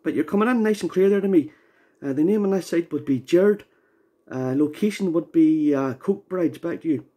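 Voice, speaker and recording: Male ham operator, husky and soft-toned, casual, unhurried delivery of call signs and short reports. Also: fairly high pitch. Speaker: amateur radio operator. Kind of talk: casual ham radio contact. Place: indoor radio station, recorded near the equipment.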